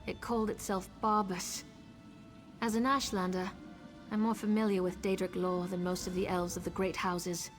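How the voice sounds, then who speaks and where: A woman's voice: on the low side, little pitch movement, normal speed, soft background music, close to an everyday voice. Earnest elven seer woman played by an actress. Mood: earnest, explaining. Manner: earnest, serious. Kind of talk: video game dramatic acting.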